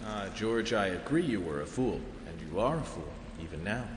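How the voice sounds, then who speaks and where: A man playing a cool, mocking investigator in a video game, deadpan and unimpressed as he taunts a villain. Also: lowish voice, moderate pace, heavy background noise. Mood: cool mockery. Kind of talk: dramatic video game cutscene acting. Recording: echoey hall reverb under music.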